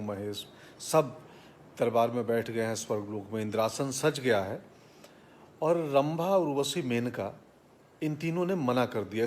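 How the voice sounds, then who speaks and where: A man's voice: mid-range voice, darkish tone, a little hiss or hum, lightly acted. A man voicing the god of death in a comic folk anecdote, as a matter-of-fact messenger urgently explaining his errand.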